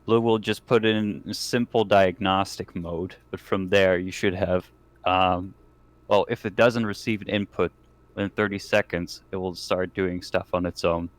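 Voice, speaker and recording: A man playing in a tabletop roleplaying game, improvising in-character talk as he goes. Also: sits low.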